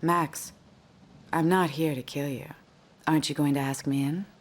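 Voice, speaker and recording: Actress as a cool, low-voiced woman fugitive in noise. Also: steady tone, moderate pace, close to an everyday voice. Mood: guarded, urgent. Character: cool, guarded woman fugitive and murder suspect. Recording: clear background noise.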